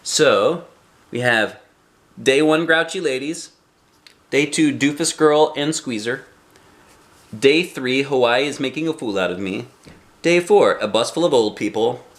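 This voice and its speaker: A man reading a children's book aloud in an expressive, folksy way, with chatty asides. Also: mid-range voice, unhurried, pauses often. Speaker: children's book reader and channel host. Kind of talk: animated read-aloud storytelling for children. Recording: roomy home recording.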